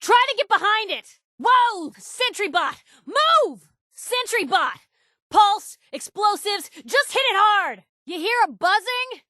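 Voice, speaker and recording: Casting: plucky young newswoman for a game, sardonic and slangy, very high, bright voice, dry close recording. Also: very animated, takes it slowly, dry recording, full character voice. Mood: alarmed, feisty. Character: feisty young woman journalist, wry, plucky wasteland companion. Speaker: video game companion character. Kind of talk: video game voice acting, short combat and banter lines.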